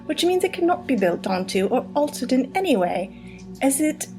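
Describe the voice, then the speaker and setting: A woman's voice: high voice, sing-song, moderate pace, over music, pitched above the performer's own voice. A woman playing a prim English lady reading a story aloud in a light put-on English accent. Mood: prim, measured.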